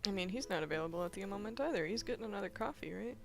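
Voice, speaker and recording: A woman's voice: mid-range voice, lively intonation, rapid-fire, gravelly. A woman giving casual commentary as she plays a video game, thinking aloud. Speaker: gaming video host.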